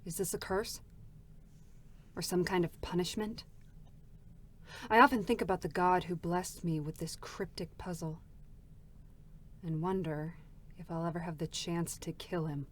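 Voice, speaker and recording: A woman voicing a bitter, slow-speaking android soldier. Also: lowish voice, fairly even intonation, slight background noise, natural voice. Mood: brooding, bitter. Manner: slow, brooding, grave delivery. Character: world-weary, bitter female android soldier haunted by loss. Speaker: voice actor. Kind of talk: dramatic scripted reading of a game story.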